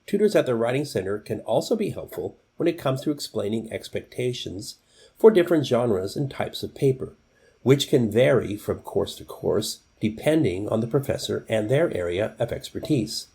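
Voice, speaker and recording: A man's voice: mid-range voice, very animated, normal speed, creaky, mellow, slightly rough. A man presenting scripted instructional tips, speaking directly to the viewer in an instructive way.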